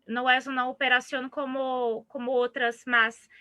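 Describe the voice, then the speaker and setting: A Brazilian woman whose first language is Portuguese, giving a seminar lecture in halting Spanish with Portuguese mixed in and frequent restarts. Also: high-pitched, little pitch movement, bright, forceful tone, dry recording. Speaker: seminar speaker.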